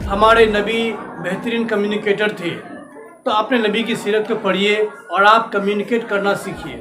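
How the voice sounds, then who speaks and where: A man's voice: sits high, little pitch movement, moderate pace, echoey room, over music. A male English teacher lecturing a class, mixing English phrases into Hindi and checking often that the students follow.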